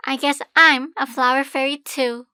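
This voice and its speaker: Bright-voiced, irreverent fairy played by a woman. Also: high-pitched, fairly even intonation, normal speed, no echo, clean studio sound. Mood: blunt, amused. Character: irreverent, blunt fairy from Shakespeare's woodland. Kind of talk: stage play dialogue.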